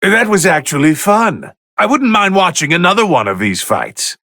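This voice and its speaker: Imperious, bored video game deity commentator: theatrical and easily irked, forceful gravelly tone, pitched a bit high, very dry recording. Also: male voice, very animated, normal speed, dry recording, exaggerated voice. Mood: approving. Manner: pompous, theatrical, drawling disdain. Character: haughty, bored god of destruction, male, easily irritated. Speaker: fighting game commentator character.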